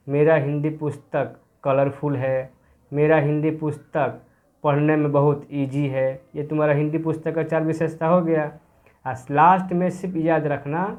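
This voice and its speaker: A man's voice: fairly high pitch, a little mellow. A man teaching a grammar workbook to young children, asking questions and answering them himself as he repeats the key words. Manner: mixes English words into the explanation.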